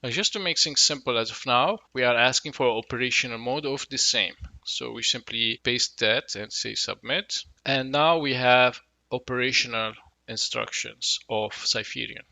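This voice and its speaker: Bright, gravelly man demoing software. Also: medium pitch, moderate pace, no echo. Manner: casual explanatory, thinks aloud with fillers. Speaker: tech tutorial host. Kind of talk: screen-recorded software walkthrough. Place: small room indoors. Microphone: headset.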